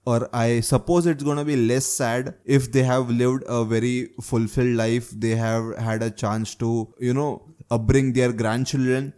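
A man's voice: mid-range voice, fairly even intonation, a little slow, hardly any pauses, mellow, clear, resonant tone. A young man hosting a casual discussion, posing questions and checking in with his listener as he talks. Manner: probing, keeps adding "right?" checks. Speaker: host asking questions.

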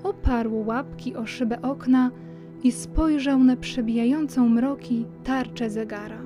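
A woman narrates a children's story from a script. Her voice sits on the high side, and music plays clearly behind her. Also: steady tone, measured pace.

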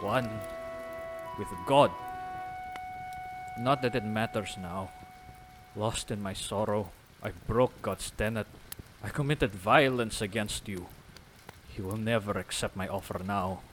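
A man's voice: lowish voice, takes it slowly, long pauses, faint music behind. An actor playing a stern, devout village father who speaks formally and solemnly in an audio drama. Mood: solemn, grieving. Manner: formal, solemn, archaic phrasing.

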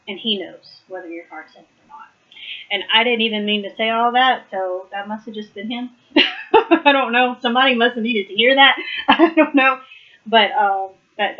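A woman hosting a live Bible study in a casual, chatty way, a bit hoarse and losing her voice, laughing often. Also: mid-range voice, normal speed, lo-fi sound. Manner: chatty, laughs often, warm southern "y'all" address.

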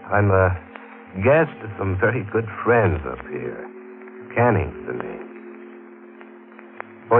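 A male actor with an American accent playing a menacing, injured gunman in an old-time radio thriller, curt, commanding and sardonic. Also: lowish voice. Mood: businesslike. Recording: muffled, noisy old radio recording.

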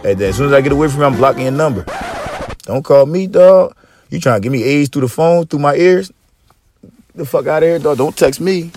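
Raspy-voiced man ranting on a podcast. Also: expressive, a little fast, a little mellow, no room sound, studio quality, soft background music. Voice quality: slightly raspy. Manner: profane, joking rants with big pitch swings. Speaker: podcast host. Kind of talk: casual chat.

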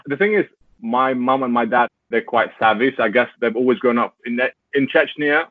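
A young man taking part as a guest in a casual interview, talking in a relaxed way, laughing easily and using lots of "like" fillers. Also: mid-range voice, normal speed, dry recording. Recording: tinny phone-like call audio, dry.

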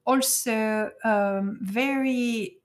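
Podcast guest: Belgian woman, native French speaker, soft-spoken, laughs easily, searches for words. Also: a little high, steady tone, drawn-out words. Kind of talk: casual interview chat.

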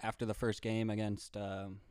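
A man's voice: lowish voice, completely flat delivery, moderate pace, darkish tone, smooth, no echo, studio quality. A man co-hosting a casual sports podcast, explaining his views confidently with fillers like "right" and "like". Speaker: podcast co-host.

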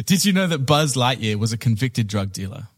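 A man's voice: mid-range voice, very animated, normal speed, no echo, studio quality. A man co-hosting a podcast, laid-back and swearing casually. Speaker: podcast co-host. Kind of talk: casual chat. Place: home studio, ground floor.

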